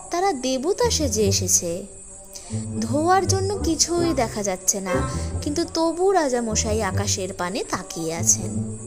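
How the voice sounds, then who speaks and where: A woman narrating a scripted story expressively as the storyteller. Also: on the high side. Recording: loud music behind the narration.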